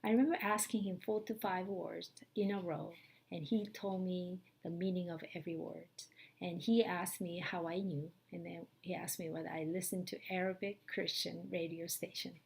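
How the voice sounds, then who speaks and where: Gravelly middle-aged woman. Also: fairly low pitch, little pitch movement, moderate pace. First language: Korean. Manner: hesitant, earnest, many fillers. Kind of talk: personal testimony.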